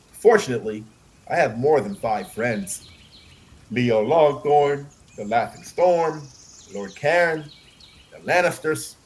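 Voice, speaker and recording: A man's voice: medium pitch, a little hiss or hum. A man voicing a pompous young knight in an audiobook, boastful and grandly confident. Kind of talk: audiobook character acting.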